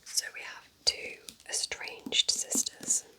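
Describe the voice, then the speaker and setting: A woman whispers throughout in a soft, breathy, unvoiced voice. She talks gently and without hurry, like an intimate ASMR chat, casually recommending things to the listener. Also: takes it slowly, rough recording. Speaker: ASMR creator recommending books.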